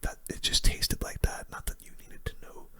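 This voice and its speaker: A person giving casual, rambling game commentary in a breathy, unvoiced ASMR whisper, close to the microphone. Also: normal speed, pushed and bright. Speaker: gaming video host.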